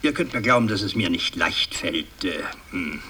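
An actor playing a pompous, fussy older aristocratic patriarch in a radio drama, speaking in a halting, ceremonious, long-winded way. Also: male voice, low-pitched, animated, sharp tone. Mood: solemn, fussy. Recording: distant, noisy 1960s radio recording.

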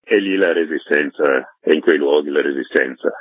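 A man with an extremely low, husky voice speaks as a radio interview guest. He is reflective and halting, repeating words to stress them. The audio is lo-fi and muffled. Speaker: film director, interview guest. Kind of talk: spontaneous radio interview answers. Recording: dull lo-fi line with roomy echo.

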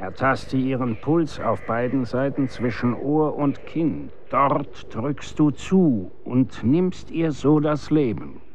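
An actor in a German radio drama playing a stern, grave executioner instructing his son in commanding, archaic, measured diction. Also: medium pitch, expressive, lo-fi sound, slight background noise.

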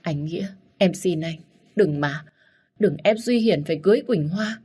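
A woman's voice: lowish voice, normal speed, mellow, breathy edge. A woman voicing a scheming older second wife who sobs and stammers as she pleads. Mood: pleading. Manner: sobbing, stammering.

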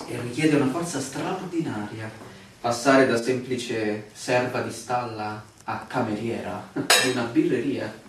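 A man's voice: mid-range voice, close to an everyday voice. Man acting probing stranger in an echoey room. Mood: intense, seductive. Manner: formal, questioning, hesitant asides. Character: newly arrived land surveyor, a probing, insistent male stranger. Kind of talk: stage-style Italian radio drama. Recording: echoey room, distant mic, faint noise, clicks.

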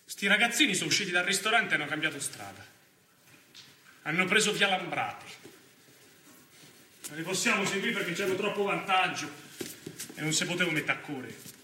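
An actor playing an anxious young Roman militant in a theatrical monologue, halting and colloquial, with a Roman accent. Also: male voice, high voice, lively intonation, bright, forceful tone, heavily acted. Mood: tense. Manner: halting, repeating himself, colloquial. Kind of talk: theatrical first-person stage monologue. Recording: roomy stage sound, distant mic, faint noise.

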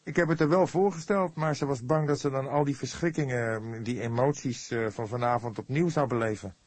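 Audiobook role: keen young policeman, done by the narrator, pitched well up, earnest and dutiful, dry close recording. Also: brisk, darkish tone, no room sound, close to an everyday voice. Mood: earnest, kindly. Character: eager, dutiful young male police detective.

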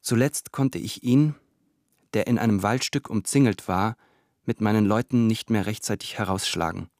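An actor playing a reserved, grieving career army officer in a radio play monologue, speaking in a measured, formal way. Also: male voice, on the low side, darkish tone, no room sound, clean studio sound, lightly acted. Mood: restrained, formal. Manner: measured, restrained, formal diction.

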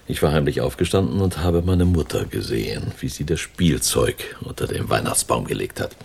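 An actor playing a sardonic, world-weary middle-aged criminal mastermind and lover in a German radio crime drama. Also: male voice, very deep voice, normal speed, creaky, darkish tone, slight background noise. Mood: sardonic, guarded.

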